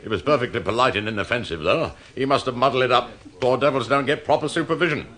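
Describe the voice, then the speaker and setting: An actor playing a pompous, cantankerous old accounts manager in a radio drama, bossy, petty and needling. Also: male voice, mid-range voice, quick pace, bright, forceful tone. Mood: needling, sarcastic.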